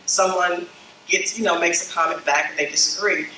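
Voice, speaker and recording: A woman's voice: on the low side, steady tone, moderate pace, pushed and bright, reverberant, far from the mic. A woman with a slightly scratchy voice from a summer flu, giving a workshop talk to a small group and often checking in with "okay?" and "you know?". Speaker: branding consultant speaking to church leaders. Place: meeting room with a small group.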